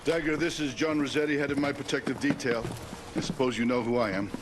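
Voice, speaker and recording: Need a man as a commanding president under fire, grave and firm, mid-pitched voice, light hiss behind. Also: fairly even intonation, fast, natural voice. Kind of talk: game cutscene acting.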